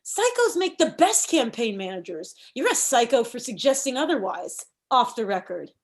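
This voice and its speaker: A woman's voice: a little high, very animated, moderate pace. A woman playing a pushy, combative thirty-year-old campaign manager in a comedy table read, arguing emphatically. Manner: argumentative, emphatic.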